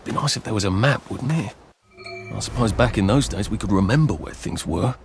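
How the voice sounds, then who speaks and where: An English fugitive in a video game, with a lively, swooping delivery and a slightly gravelly, higher-set voice. He mutters wryly to himself, anxious and politely exasperated, with music behind him. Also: fairly quick. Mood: wry musing. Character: anxious, sardonic young English man on the run. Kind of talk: video game character acting.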